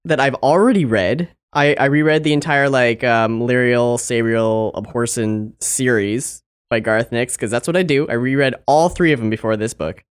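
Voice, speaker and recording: A man's voice: mid-range voice, normal speed, hardly any pauses, smooth. A man chatting casually as a podcast co-host, joking in a goofy, self-deprecating way.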